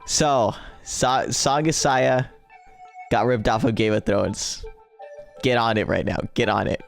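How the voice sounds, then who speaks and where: A man's voice: mid-range voice, measured pace, creaky, faint music behind. A man chatting casually and reading a visual novel aloud, joking and swearing informally as he plays. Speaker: gaming video co-host.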